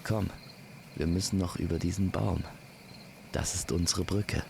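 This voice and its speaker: Very deep, slow-speaking male storyteller. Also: fairly even intonation, vocal fry, noisy background. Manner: slow, gentle, poetic delivery. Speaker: storyteller, narrator. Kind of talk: immersive scripted campfire storytelling addressed to the listener. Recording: constant night insect and nature ambience. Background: crickets and forest night sounds.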